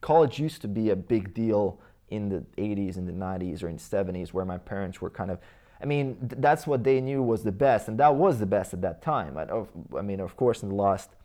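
A man's voice: on the low side, lively intonation, fairly quick, dark tone. A man in his thirties with a Croatian accent, a native Croatian speaker, talking casually about his past as an interview guest, rambling with many fillers and restarts. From Croatia/Bosnia region. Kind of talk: casual life-story interview.

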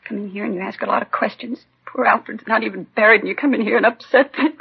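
A woman's voice: a little high, fast talker, gravelly, breathy edge, dry recording, exaggerated voice. An actress playing a defensive, nagging housewife and mother in a 1950s radio crime drama, testy and insistent. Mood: agitated, defensive. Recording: dull lo-fi 1950s radio recording.

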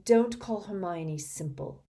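A woman's voice: medium pitch, expressive, slow, warm tone, voice pushed up. A woman voicing a direct, urgent teenage boy wizard in an audiobook. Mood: blunt, urgent. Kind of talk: audiobook character acting.

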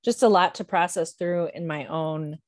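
A woman talking reflectively about personal matters in a thoughtful, measured way, pausing to find the right words. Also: fairly low pitch, darkish tone.

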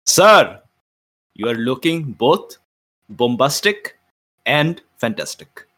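A man playing a tabletop game, setting out his ideas in casual, deliberate talk with pauses. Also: mid-range voice, very animated, sharp tone.

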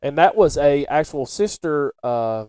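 A man's voice: a little high, lively intonation, a little slow, mellow, smooth, dry recording. A middle-aged man, co-hosting a casual podcast about sports and local news. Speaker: podcast co-host.

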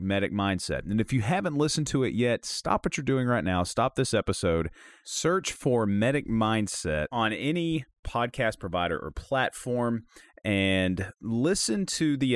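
Animated, low-voiced male podcast host. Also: dry recording, clean studio sound. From Georgia, US. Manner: upbeat, enthusiastic, quick asides and laughs. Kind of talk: casual podcast chat.

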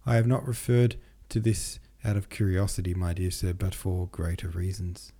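Stern old gentleman Freemason read by a male narrator, formal and deliberate, dark low voice, storybook delivery. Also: creaky. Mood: solemn.

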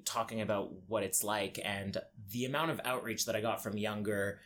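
A young man from Egypt speaking candidly as a podcast guest, in a reflective, halting way with pauses. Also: on the low side, fairly even intonation, a bit bright. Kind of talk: candid personal interview. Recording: clear background noise.

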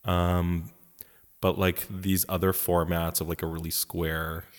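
Man from Poland, low voice, joking and campy podcast host, long reverb. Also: flat delivery, slow. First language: Polish. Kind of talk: casual podcast chat. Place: house in Poland.

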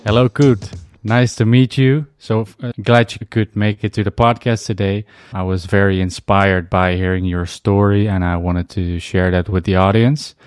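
A man's voice: lowish voice, steady tone, normal speed, hardly any pauses, darkish tone, clean tone, dry recording. A man hosting a podcast, introducing and questioning his guest in a polite, appreciative manner. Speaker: podcast host.

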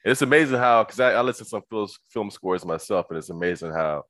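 A man hosting a casual interview, relaxed and encouraging, with frequent short agreements. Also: fairly low pitch, quick pace, dry recording.

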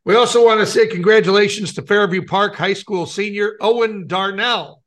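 A man's voice: sits high, moderate pace, fairly bright tone. An American man co-hosting a radio show, giving local news and short comments.

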